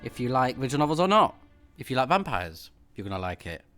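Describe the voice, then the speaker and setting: A man's voice: mid-range voice, big pitch swings, brisk, fairly bright tone, faint music behind. A man recording a let's play, switching between casual off-the-cuff commentary and reading game text aloud. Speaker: let's player, game streamer.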